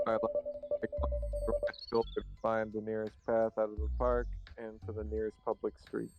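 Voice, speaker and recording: A man's voice: fairly low pitch, completely flat delivery, moderate pace, lo-fi sound. Man reading game narration over music. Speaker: co-player reading the game's narration. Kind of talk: read-aloud narration with casual reactions.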